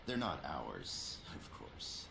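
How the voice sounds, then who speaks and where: An actor playing a grave, polite older professor in a video game, with a gravelly voice. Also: male voice, rumbling low, wildly swooping pitch, takes it slowly, background noise, exaggerated voice. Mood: measured, grave. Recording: roomy game audio under loud music.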